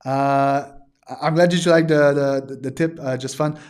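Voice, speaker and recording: Man losing his voice, halting. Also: mid-range voice, steady tone, a little mellow. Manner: hesitant, many "uh" fillers and restarts. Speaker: digital art teacher hosting a livestream. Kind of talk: casual livestream talk to viewers.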